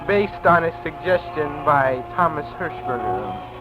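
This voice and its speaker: A man with a high voice slowly declaims film credits. He announces them like titles for a homemade horror picture, with loud music all around him. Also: animated, poor audio.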